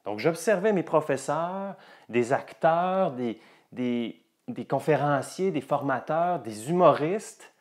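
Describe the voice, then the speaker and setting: A man's voice: on the high side, lively intonation, takes it slowly. A man with a Quebec French accent, a coach telling personal stories and presenting a course to viewers.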